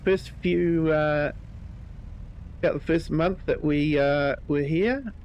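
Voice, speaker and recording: A man with a New Zealand accent hosting a live gameplay stream, chatting with viewers in a relaxed way, his voice a little croaky from a cold. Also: fairly high pitch, takes it slowly, muffled recording, a little hiss or hum. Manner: relaxed, chatty, greets viewers by name, many ums. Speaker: livestream host.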